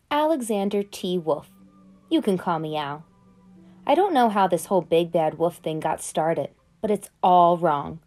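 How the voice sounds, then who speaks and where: A woman's voice: lowish voice, darkish tone. A woman reading as Al the sly wolf. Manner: chatty, conspiratorial storytelling with big swings. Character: sly smooth-talking male wolf telling his side. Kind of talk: children's picture book read aloud.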